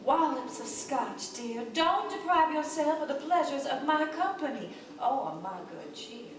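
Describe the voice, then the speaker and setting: Higher-voiced woman reader, theatrical narration, far from the mic in a very echoey hall. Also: animated, measured pace, noisy background. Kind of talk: live ensemble reading of a novel. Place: theater stage.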